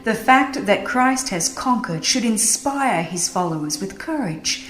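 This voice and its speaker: A woman reads a scripted religious audiobook in a solemn, earnest and measured delivery. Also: mid-range voice, expressive, fairly bright tone. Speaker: audiobook narrator. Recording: roomy echo with music behind. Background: music.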